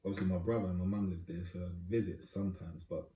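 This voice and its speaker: A man, a guest artist in a casual group chat, telling stories in an animated way. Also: low voice, fast talker, a little hiss or hum. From London. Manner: animated storytelling. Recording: roomy with loud chatter.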